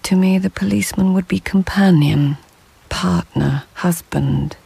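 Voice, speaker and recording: An actress playing a reflective court lady of old Japan, narrating in a measured, poetic, breathy near-whisper for a radio drama. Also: on the low side, mellow, dry recording, exaggerated voice. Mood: quiet, contemplative. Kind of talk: intimate audio drama narration.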